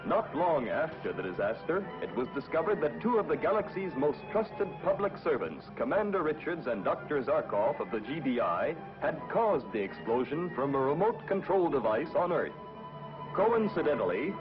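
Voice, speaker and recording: A man narrating a 1950s science fiction TV episode in an urgent, declamatory announcer style. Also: fairly high pitch. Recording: dull 1950s TV soundtrack with music.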